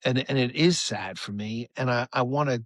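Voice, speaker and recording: An American man in a casual interview, speaking at a middling pitch with a dry, close sound. He tells stories earnestly and in a rambling way, circling back to repeat his phrases. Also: normal speed, no echo. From United States. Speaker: rock musician, interview guest.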